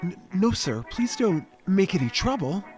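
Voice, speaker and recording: A man's voice: high voice, sing-song, normal speed, darkish tone, faint music behind. An actor playing a timid, polite, flustered man who stammers and pleads in a period audio drama. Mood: nervous. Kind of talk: period radio drama acting.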